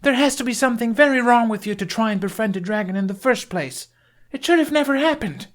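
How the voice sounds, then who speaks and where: A young Viking warrior woman acted by a male audiobook narrator speaks high and hard. She is indignant and accusing, pushing her words out loudly with sharply swinging pitch. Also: lively intonation, quick pace. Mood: furious, challenging. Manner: indignant, forceful, shouting accusations.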